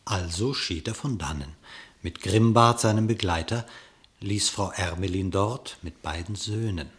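A man narrating a verse animal fable for radio, declaiming in an expressive, theatrical way. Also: deep voice, a little slow.

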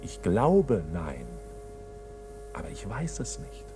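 An actor playing a tense, stubborn young nephew in a radio drama, controlled, terse and brooding. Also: male voice, lowish voice, wildly swooping pitch, slow, dark tone, faint music behind, heavily acted. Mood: brooding, defiant. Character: tense, stubborn bank clerk nephew under accusation.

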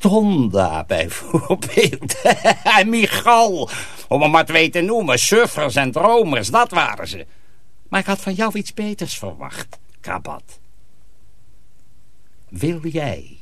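An actor playing a sinister, commanding sorcerer miller in a radio drama, persuasive and scornful. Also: male voice, on the high side, very animated, takes it slowly, fairly bright tone, heavily acted. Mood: scornful, coaxing.

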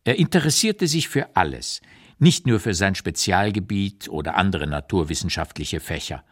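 An actor playing an elderly male writer looking back on his life, reading memoir prose in a measured, emphatic, carefully articulated way for a radio play. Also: low-pitched, animated, fairly bright tone. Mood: admiring, measured. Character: 85-year-old writer looking back on his exile years, reflective and candid.

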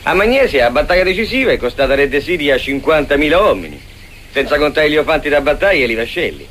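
An actor playing an earnest ancient who explains and insists, in a comic radio play. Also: male voice, fairly high pitch, sing-song, quick pace, fairly bright tone, slight background noise. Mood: insistent.

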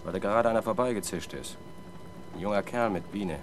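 An actor playing an eager, casual police sergeant on night patrol in a German radio crime drama. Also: male voice, on the low side, fairly quick, close to an everyday voice. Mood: casual, keen. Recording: loud vehicle and ambience noise.

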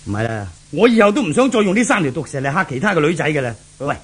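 Anxious villain boss, fairly high voice, Hong Kong Cantonese, agitated, urging, vintage radio drama. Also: male voice, big pitch swings, racing, husky. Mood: shaken. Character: uneasy villainous male boss, cruel but anxious.

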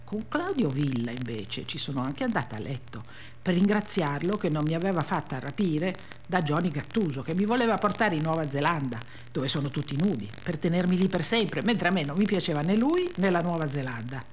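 A woman's voice: low-pitched, expressive, brisk, slight background noise. A woman giving a dramatic first-person reading of a memoir for radio. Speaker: reader.

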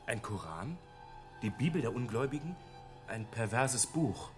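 A man acts a young novice monk in a radio drama. He is earnest and naive, speaking in a medium-pitched, wondering, tentative way over faint music. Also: big pitch swings, normal speed, natural voice. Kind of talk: German radio drama acting.

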